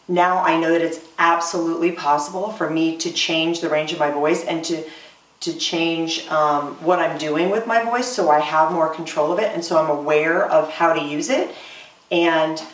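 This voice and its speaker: A woman in her forties, a singer, talking earnestly in a casual interview with many pauses and "um"s, recorded roomy from off-mic. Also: sits low, recorded from a distance. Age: 40s. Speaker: singer and voice student.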